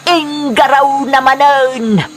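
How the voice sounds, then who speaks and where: A woman's voice: high voice, steady tone, takes it slowly, a bit bright, soft background music. A woman acting a worried, gossiping older mother in an Ilocano drama, scolding and disapproving.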